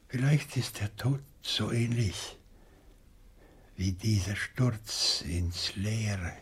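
An older man acting a weary, wounded, philosophical professor in a radio crime drama, speaking weakly, haltingly and solemnly. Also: medium pitch, darkish tone, slightly rough, exaggerated voice. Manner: halting, solemn, drawn-out speech. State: weak, exhausted.

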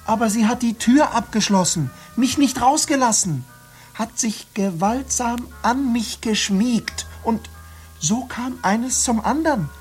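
A woman's voice: on the high side, unhurried, darkish tone, faint music behind, close to an everyday voice. A performer in a radio play as an indignant man complaining that he was seduced, aggrieved and self-righteous.

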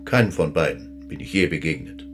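An actor playing a dead German army general in a radio drama, curt and aloof, with clipped formal speech. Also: male voice, a bass voice, animated, brisk, faint music behind. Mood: guarded, dismissive.

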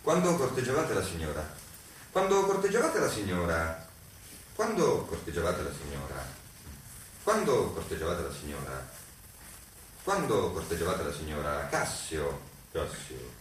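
An actor playing a falsely deferential, scheming servant in a theatrical monologue, repeating phrases obsessively in shifting tones. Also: male voice, low voice, sing-song, measured pace, pauses often, heavy reverb, distant mic, slight background noise, poor audio. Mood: insinuating, deferential.

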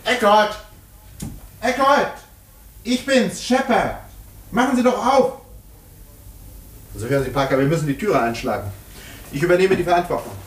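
A village doctor in a vintage 1950s radio play. He recounts a murder case with precise, controlled diction and animated inflection, on an old radio recording. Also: high voice, moderate pace, long pauses, a little hiss or hum. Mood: agitated. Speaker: narrator and lead actor.

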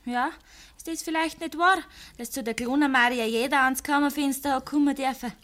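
An actress playing a jealous, coquettish young farmer's daughter in a radio drama, talking in Bavarian dialect with a probing, insistent manner. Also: female voice, sits high, brisk, a bit bright. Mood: jealous, accusing.

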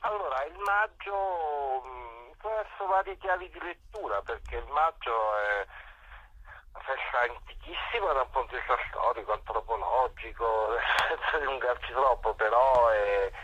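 A man, a musician being interviewed on radio, explaining things in a halting, thoughtful way. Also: mid-range voice, bright, forceful tone, slightly rough, dry recording. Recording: muffled, band-limited phone-like radio sound.

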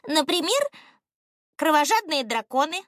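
A high-pitched, excitable male ostrich from a children's storybook, acted out by a woman. He is nervous and suspicious, yet stubbornly self-important. His blunt, emphatic speech swoops up and down, recorded dry and close. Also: unhurried, no echo, heavily acted. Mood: fearful, convinced.

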